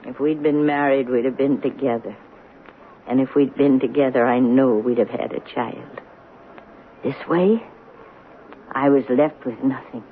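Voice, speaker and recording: An actress playing an elderly, pious and romantic spinster in a 1970s radio drama, speaking precisely and reflectively with gentle firmness. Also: female voice, very deep voice, unhurried, long pauses. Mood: wistful. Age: older. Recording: narrow, noisy old radio broadcast.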